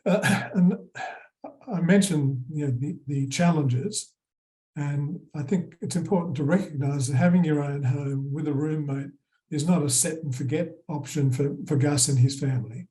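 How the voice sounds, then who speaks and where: Australian male presenter, warm dark timbre, steady formal delivery. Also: fairly high pitch, moderate pace, poor audio. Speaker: conference presenter.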